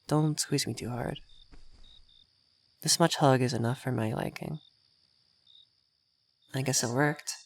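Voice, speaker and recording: A man's voice: mid-range voice, vocal fry. A man playing a young effeminate vampire mage in roleplay ASMR, vain and refined, coy and easily flustered in an intimate delivery. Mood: soft, fond.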